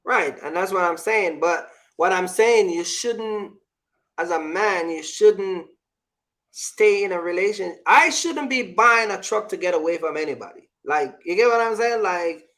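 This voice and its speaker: Higher-pitched man talking casually. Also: expressive, a little slow, fairly bright tone. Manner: repeats phrases, checks understanding often. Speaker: show host. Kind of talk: casual opinionated discussion.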